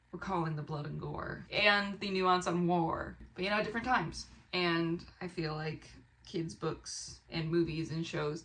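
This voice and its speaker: Bright-voiced, animated woman chatting casually. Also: fairly low pitch. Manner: animated, rambling, expressive asides. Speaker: book haul vlogger and fiction author. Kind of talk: casual excited chat to camera.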